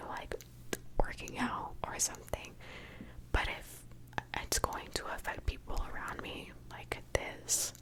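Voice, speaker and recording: A young woman from Mexico, an ASMR creator, whispering a candid, chatty question-and-answer session. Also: poor audio.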